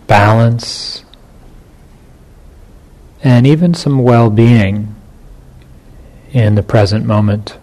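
A man leading a guided meditation as a teacher, speaking in short phrases with long pauses between them. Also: mid-range voice, steady tone, a little mellow.

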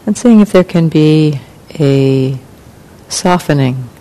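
A woman meditation teacher leading a guided meditation in calm, gentle, unhurried phrases with long pauses. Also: low-quality recording. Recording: clear rumbling background noise.

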